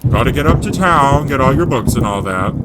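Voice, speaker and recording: A man voicing a gruff, warm-hearted giant gamekeeper, blustery and loyal, in growling rustic dialect for a storybook audio drama. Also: mid-range voice, expressive, brisk, very noisy, voice pushed up, lightly acted. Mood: brisk, kindly.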